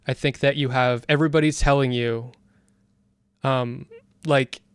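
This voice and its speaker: Blunt man, smooth voice. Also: medium pitch, fairly even intonation, a little slow, no room sound, clean studio sound. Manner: blunt and opinionated. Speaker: discussion guest. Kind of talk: casual debate chat.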